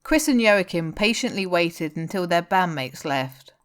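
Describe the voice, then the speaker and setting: A woman narrates a piece of fiction from a script. Her voice sits a little lower than most women's, and she reads the prose as a storyteller. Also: animated, unhurried. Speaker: fan fiction reader.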